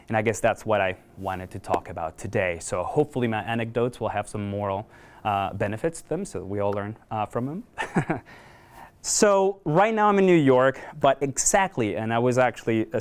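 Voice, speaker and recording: Chatty man giving a talk. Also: on the low side. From Venezuela. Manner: conversational and self-deprecating, with frequent fillers. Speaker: guest speaker, video journalist. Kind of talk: conference talk. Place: lecture room, filmed.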